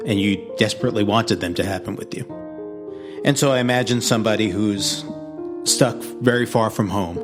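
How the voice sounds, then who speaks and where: A man giving a prepared, reflective introduction as the author of an audio story, in a measured way. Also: mid-range voice. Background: clear music.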